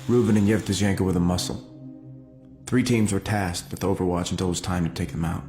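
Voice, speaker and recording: Hardened special forces operative, low voice, clipped and defiant, dramatic game cutscene, music behind. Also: male voice, fairly even intonation, fairly quick. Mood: terse, grim. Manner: terse, clipped, matter-of-fact. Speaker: video game character. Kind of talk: dramatic cutscene acting, recounting missions.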